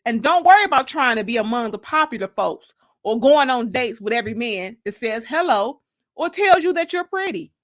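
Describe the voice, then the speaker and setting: A woman's voice: high-pitched, a bit bright. A woman voicing a stern, blunt, protective older working woman in a dramatic audiobook reading, with an emphatic, admonishing manner.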